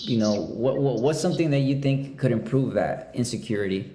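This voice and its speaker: A young man hosting a casual interview, talking in halting phrases with many restarts. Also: mid-range voice, animated, warm tone, reverberant, a little hiss or hum. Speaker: podcast host.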